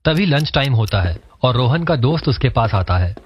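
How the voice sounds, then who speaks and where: A man's voice: medium pitch, normal speed. Fields: Role: male story narrator. Sound: dull lo-fi. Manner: steady storytelling. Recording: dull lo-fi voice-over.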